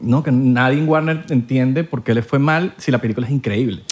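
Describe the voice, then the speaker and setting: A man's voice: medium pitch, brisk, a little mellow. A man hosting a podcast, joking and teasing his guest in slangy, casual banter. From Miami area. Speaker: podcast host.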